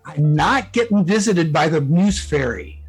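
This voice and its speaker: A man's voice: a little high, lively intonation, measured pace, slightly rough. A man talking casually as a guest writer being interviewed about his work. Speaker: guest author, fan fiction writer. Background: background music.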